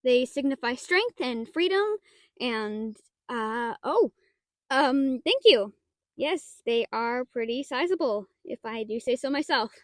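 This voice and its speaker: A woman's voice: sits high, animated, slow, dry recording, studio quality. A woman playing a shy, nerdy half-dragon librarian in a roleplay audio drama, talking in a hesitant, flustered, stammering way. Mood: bashful. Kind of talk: roleplay audio drama, one-sided dialogue.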